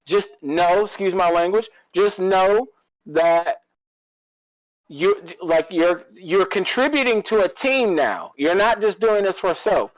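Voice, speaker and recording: A man's voice: sits high, lively intonation, takes it slowly, dry recording, low-quality recording. A man co-hosting a podcast in casual, opinionated talk, repeating phrases for emphasis. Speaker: podcast co-host.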